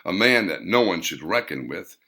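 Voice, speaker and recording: A man reading his own novel aloud in a measured, deliberate storytelling manner. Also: low-pitched, expressive, bright, forceful tone. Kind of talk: audiobook narration.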